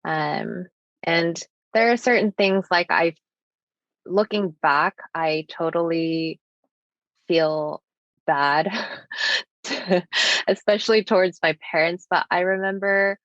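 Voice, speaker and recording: Slow, low-voiced young woman guest. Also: fairly even intonation, dry recording. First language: Japanese. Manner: earnest, thoughtful, many "like" fillers. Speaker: podcast guest. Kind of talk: reflective personal conversation. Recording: dull lo-fi sound.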